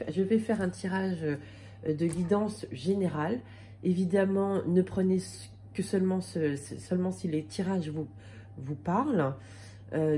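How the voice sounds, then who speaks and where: A woman with a slight cold reads tarot cards for her viewers in a relaxed, chatty way, often pausing and restarting her sentences. Her voice sits a little low and has a warm, mellow tone. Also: a little hiss or hum. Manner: hesitant, many fillers and restarts. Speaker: tarot card reader. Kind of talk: casual tarot reading for viewers.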